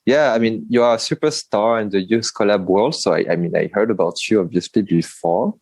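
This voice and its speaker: A man's voice: on the low side, fairly quick, darkish tone, no room sound. A man hosting a podcast, talking in a rambling way with restarts and laughs over a video call. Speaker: podcast host. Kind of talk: casual interview chat. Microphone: remote video call.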